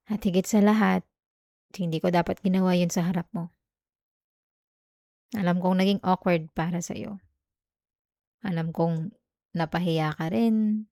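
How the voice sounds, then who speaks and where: A woman's voice: on the low side, warm tone, no echo, studio quality, lightly acted. A woman acting as a jealous, clingy young girlfriend in an intimate ASMR roleplay, with a Filipino accent. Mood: grudging.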